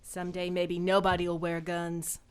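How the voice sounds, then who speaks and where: Woman acting teasing frontier gal, American accent, unhurried slow delivery, affectionate, radio western. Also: on the low side, monotone, smooth, lightly acted. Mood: relaxed, teasing.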